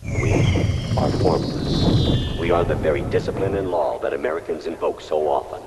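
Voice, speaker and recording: An actor playing the imperious, formless voice of a shadowy ruling power in a game, declaiming solemnly. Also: male voice, extremely low pitch, moderate pace, heavy background noise. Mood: solemn, ominous. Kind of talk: video game cutscene acting.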